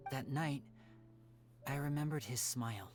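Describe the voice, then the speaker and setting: An actor playing a young male magician with secrets, speaking in a soft, reflective, measured game-dialogue style. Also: mid-range voice, close to an everyday voice. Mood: gentle, sombre. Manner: soft-spoken, reflective, measured theatrical delivery. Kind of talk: scripted dramatic game dialogue. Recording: music playing under the voice.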